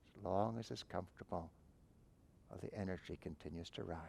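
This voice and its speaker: A man guiding a breathing meditation, giving calm, unhurried instructions with long pauses between phrases. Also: sits low, gravelly, a little hiss or hum. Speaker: meditation teacher.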